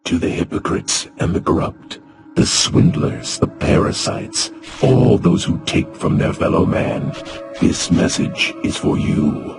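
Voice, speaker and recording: An actor playing a grim masked vigilante, delivering a slow, solemn, menacing film-trailer manifesto in a breathy rasp. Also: male voice, rumbling low, exaggerated voice. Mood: grim, accusing. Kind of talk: scripted dramatic film trailer monologue. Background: loud music.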